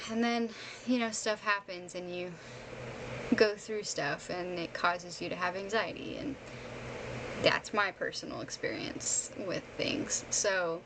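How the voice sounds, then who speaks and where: A woman's voice: mid-range voice, background noise. A young woman chatting casually on a livestream in an informal, self-deprecating, talkative way. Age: young adult. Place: bedroom streaming setup, indoors.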